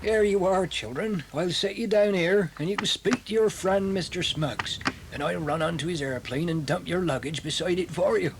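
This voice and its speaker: An actor playing a helpful, cheerful taxi driver, chatty and obliging, in a children's audio drama. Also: male voice, sits high, moderate pace. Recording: noisy old cassette.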